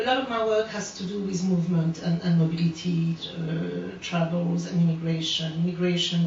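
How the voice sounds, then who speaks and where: A woman's voice: lowish voice, darkish tone, a little hiss or hum. A woman, giving an unscripted artist talk, hesitant, with many fillers and restarts. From France. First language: French. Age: 40s. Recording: echoey room, distant mic, dull sound.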